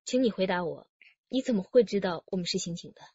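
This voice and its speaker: A woman's voice: medium pitch, lively intonation, fast, mellow, slightly rough, highs cut off. An actress playing a direct, questioning female police detective in a radio drama.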